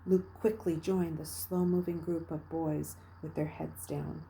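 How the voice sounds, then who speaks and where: A woman reading a children's novel aloud with expressive, dramatic narration, moving between hushed passages and emphatic bursts. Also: low-pitched, measured pace, gravelly, rough recording. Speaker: read-aloud storyteller.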